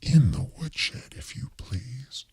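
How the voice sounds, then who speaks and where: A prim, domineering landlady from a radio play, whispered by a man. The voice is breathy and gravelly, issuing fussy commands in measured phrases. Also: medium pitch, lively intonation, darkish tone, no room sound, exaggerated voice. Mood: prim, bossy. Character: fussy, domineering widowed landlady obsessed with cleanliness.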